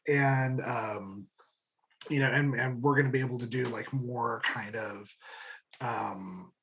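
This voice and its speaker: A man in a casual interview, talking as a creative guest. He rambles in a halting way, doubling back on words and restarting sentences. His delivery is informal and enthusiastic, at an average male pitch. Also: steady tone, fairly bright tone, a little hiss or hum. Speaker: guest, audio drama creator.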